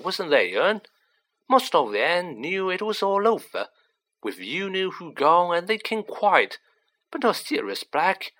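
A storyteller voicing a gossipy, excitable young working-class man who talks colloquially and dramatically, in an audiobook reading. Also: mid-range voice, voice pushed up. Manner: colloquial, dramatic, dropped grammar, tag questions.

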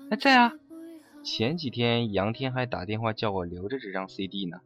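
Casting: actor as genial, familiar CD shop owner for radio drama, swooping intonation, clear music behind. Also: male voice, fairly low pitch, animated, normal speed, a bit bright. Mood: easygoing. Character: genial, laid-back male CD shop keeper, old friend. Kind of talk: audio drama acting.

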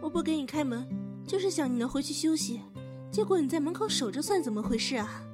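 A woman voice actor playing a gentle young woman haunted by grief in an audio drama. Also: sits high, animated, quick pace. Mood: soft, caring. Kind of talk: Chinese audio drama acting. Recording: guitar music behind.

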